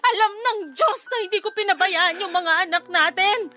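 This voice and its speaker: A woman's voice: very high voice, normal speed, sharp tone. A woman playing a hurt, defensive bereaved mother in an emotional radio drama, indignant and tearful.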